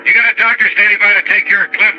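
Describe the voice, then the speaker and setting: A brisk American flier acted by a man reports from a troubled plane in a high, clipped, urgent voice. It is 1950s radio drama, muffled by the old recording, with faint music. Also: flat delivery, natural voice. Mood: tense, businesslike.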